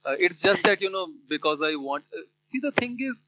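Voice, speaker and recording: A man's voice: high voice, very animated, brisk, bright, forceful tone, muffled recording, a little hiss or hum. A man with an Indian English accent, a native Hindi speaker, chatting thoughtfully in conversation practice with many fillers and restarts. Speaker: learner.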